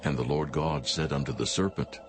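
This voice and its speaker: A man narrating scripture in a formal, measured and solemn scripted style. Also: extremely low pitch, fairly even intonation, over music.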